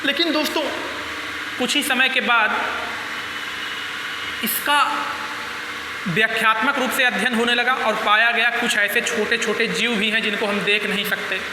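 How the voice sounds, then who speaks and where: A male teacher giving a biology lecture with a sore, hoarse throat, his tongue stumbling now and then as he keeps calling his students friends. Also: extremely high pitch, fairly even intonation, moderate pace, long pauses. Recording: echoey hall with noise.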